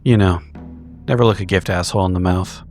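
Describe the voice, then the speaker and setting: A man's voice: deep voice, fairly even intonation, brisk, a little mellow, soft background music, lightly acted. A man playing a dry, wry investigator in a horror tabletop roleplaying game.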